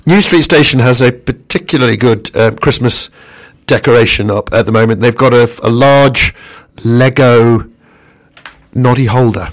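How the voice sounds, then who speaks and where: A hoarse man who has lost his voice, hosting a casual radio chat show with a self-mocking, jokey manner. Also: medium pitch, normal speed.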